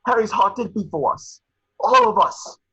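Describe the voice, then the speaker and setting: A man's voice: extremely high pitch, wildly swooping pitch, normal speed, gravelly, sharp tone, husky, voice pushed up, full character voice, rough recording. A man acting a defiant young man in a screenplay table read, declaiming with impassioned force as he rallies fighters.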